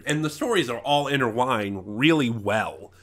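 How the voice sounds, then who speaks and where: A man with a bright, forceful voice at a medium pitch talks through an off-the-cuff review. He speaks casually and with emphasis, often breaking off mid-thought and starting the point again. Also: lively intonation. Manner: halting, trails off and regroups mid-thought. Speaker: online reviewer. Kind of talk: casual unscripted audio drama review.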